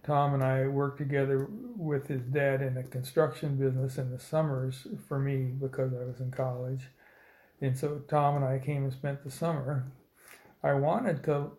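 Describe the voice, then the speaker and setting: A man in his seventies from Indiana recalls his past in an oral history interview, pausing often on fillers. His voice is gravelly and stays level in pitch. The recording carries a roomy echo. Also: a little mellow. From Indiana, United States. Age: 70s. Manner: slow, hesitant storytelling with many fillers. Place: old building, a former dormitory.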